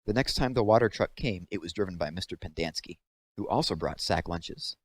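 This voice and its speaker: A man's voice: deep voice, animated, fairly quick. A man narrating a children's novel as a read-aloud, in scripted storytelling style.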